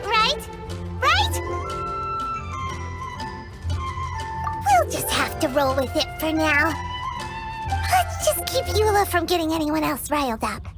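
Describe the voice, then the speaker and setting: A woman voicing a tiny, excitable floating fairy companion in a game cutscene, bubbly and whiny, who speaks of herself in the third person. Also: very high-pitched, a little slow, exaggerated voice. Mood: chirpy. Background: game music.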